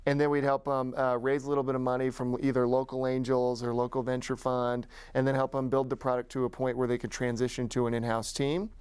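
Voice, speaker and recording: A man's voice: mid-range voice, a little mellow, smooth, no room sound. An American man from Utah answering interview questions as a guest in an informal, enthusiastic, conversational way. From Utah, United States. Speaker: guest, executive director of a tech community nonprofit.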